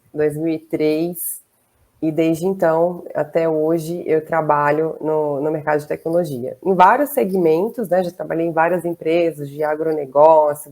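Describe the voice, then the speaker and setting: A woman in her thirties with a Goiânia accent, chatting casually as an interview guest, with frequent fillers and small laughs. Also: lowish voice. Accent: Goiás Brazilian Portuguese. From southern Brazil, raised in Goiás. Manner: chatty, warm laughs between phrases, many fillers.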